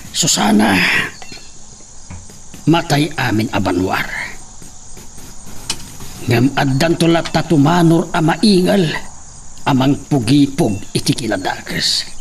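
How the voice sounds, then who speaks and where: Grave, gravelly father in radio drama. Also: on the high side, big pitch swings, takes it slowly, long pauses, slight background noise, full character voice. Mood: grave, resolute. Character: earnest father and husband planning a village resistance.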